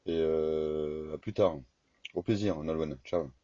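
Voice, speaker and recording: A man with a very low, mellow voice rambles hesitantly through a casual two-way radio chat. The recording is dry and a little dull, and his speech is loose and colloquial. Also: steady tone, slow pace, no echo. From France. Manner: rambling, hesitant, colloquial, often ending on "quoi" and "hein". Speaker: radio amateur operator.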